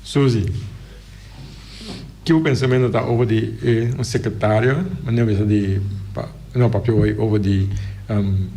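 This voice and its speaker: Halting male radio host. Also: on the low side, steady tone, mellow, slight background noise, low-quality recording. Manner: hesitant, halting questions. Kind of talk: radio interview. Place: radio studio.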